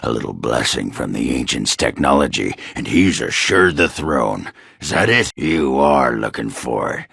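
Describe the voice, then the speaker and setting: A surly, hard-drinking male dwarf warrior from a fantasy video game. His voice is extremely low and thick with gravel, recorded close and dry. He grumbles bluntly, with coarse, sardonic bite. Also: sing-song, normal speed, a bit bright, dry recording, full character voice. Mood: suspicious. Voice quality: very deep, gravelly, rough. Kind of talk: video game character dialogue.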